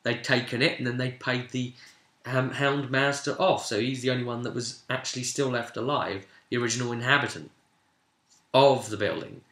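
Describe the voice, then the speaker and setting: A man's voice: medium pitch, fairly even intonation, moderate pace, fairly bright tone. A man casually recapping a tabletop role-play session as game master, telling it like a story and breaking off into asides. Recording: roomy, echoey room sound.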